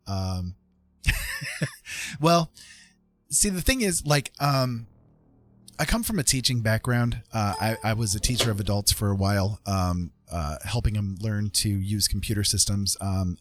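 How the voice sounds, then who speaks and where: A man's voice: fairly low pitch, no echo. A man chatting casually on a live stream as he draws, hesitant and self-effacing, thinking aloud with tutorial-like asides. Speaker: streamer and digital artist. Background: faint music.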